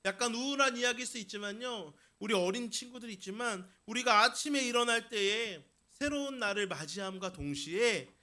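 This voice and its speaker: A male pastor delivers a sermon in a notably high, bright voice. His tone is forceful and emphatic. He repeats key phrases and puts rhetorical questions to his listeners. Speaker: pastor preaching. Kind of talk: Sunday sermon to a congregation.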